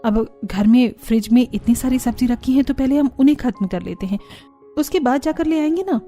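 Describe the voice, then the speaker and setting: Mellow female voice, put on by a woman narrator, weary elder daughter-in-law, placating, grumbling, cartoon story. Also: sits high, a little fast, soft background music, natural voice.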